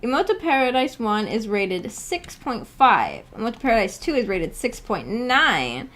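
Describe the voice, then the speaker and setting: A woman's voice: a little high, sing-song, measured pace, fairly bright tone. A woman hosting a casual livestream, reading out and answering viewer comments in a giggly, hesitant, self-effacing way. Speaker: livestream host.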